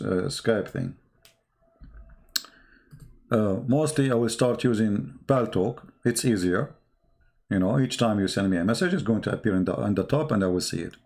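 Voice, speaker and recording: Arabic accented guy ranting on a livestream, creaky voice, blunt and combative, broken english. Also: medium pitch, darkish tone. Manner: blunt, combative, halting non-native English. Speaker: livestream host, religious polemicist. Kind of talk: casual livestream monologue answering chat comments.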